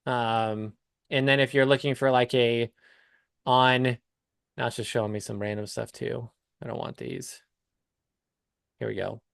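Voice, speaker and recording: A man's voice: mid-range voice, level tone, measured pace, vocal fry, long pauses, clear, resonant tone, no echo, studio quality. A man talking casually as a coach on a live call, explaining things step by step with examples. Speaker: business coach hosting a client call.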